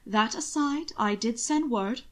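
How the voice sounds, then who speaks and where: A woman's voice: a little high, a little slow, fairly bright tone. A woman voicing a cunning aristocratic lady in an audiobook story, cool, formal and falsely innocent. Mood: cool rebuke.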